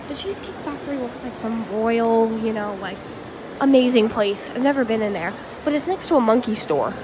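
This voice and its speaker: A bubbly young woman chats straight to her phone camera in a high, bright voice. The phone audio carries constant background noise from the mall and the car. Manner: bubbly, chatty, talks straight to viewers. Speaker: vlogger. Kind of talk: casual vlog to a phone camera. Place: car, bedroom and a busy shopping mall. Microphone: handheld phone camera at arm's length.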